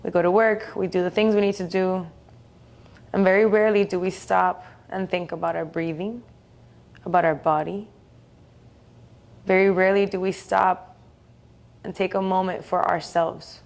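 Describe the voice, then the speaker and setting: A woman leading a guided meditation in a soothing, gentle, unhurried way, leaving long pauses between phrases. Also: fairly low pitch, level tone, creaky.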